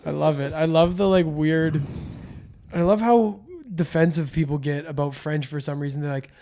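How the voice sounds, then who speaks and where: A man in casual comic podcast chat, animated and slangy, swearing freely and often amused. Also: on the high side, normal speed, crowd noise. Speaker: comedy podcast host.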